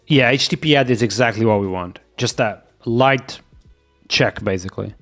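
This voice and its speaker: A man with a Portuguese accent, a native Portuguese speaker, streaming live as he codes. He thinks aloud, muttering to himself and repeating quick agreements as he reads, and he sounds sick. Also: mid-range voice, fairly bright tone, soft background music. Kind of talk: thinking aloud while coding, chatting with viewers.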